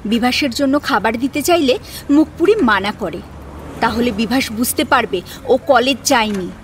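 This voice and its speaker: A woman narrating a dramatic audio story in an expressive storytelling style. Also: fairly high pitch, moderate pace, slight background noise. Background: music.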